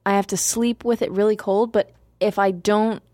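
A woman's voice: medium pitch, steady tone, moderate pace, a little mellow, dry recording. Dry-miked woman in casual podcast chat. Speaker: podcast co-host.

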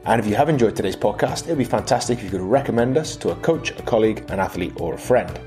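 A man's voice: fairly low pitch, expressive, fast talker, mellow, faint music behind. A man hosting a podcast, delivering an upbeat scripted outro and promotion. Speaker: podcast host.

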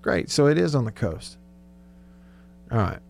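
A man's voice: mid-range voice, very animated, unhurried, creaky, over music. A man hosting casual sports talk radio, chatty and joking as he reads out listener texts. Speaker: radio show host. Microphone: close studio radio microphone.

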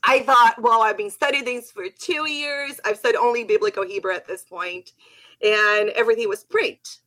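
A woman with a fairly high voice speaks English as a second language, her first being Portuguese. She talks in animated, quick bursts, like a guest sharing stories on a chatty podcast. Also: poor audio. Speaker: podcast guest, Hebrew teacher. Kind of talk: casual conversation.

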